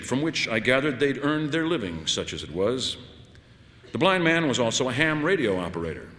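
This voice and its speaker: Male narrator for literary fiction: wry, conversational, forceful tone, animated intonation, recorded with long reverb. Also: mid-range voice. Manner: wry, conversational, dramatic delivery with shifts into dialogue. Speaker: audiobook narrator. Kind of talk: expressive first-person literary short story reading.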